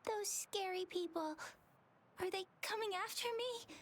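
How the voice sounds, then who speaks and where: A woman's voice: very high voice, unhurried, fairly bright tone, slight background noise. A woman voicing a sweet, frightened little girl in a video game, with a small childlike voice. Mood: worried.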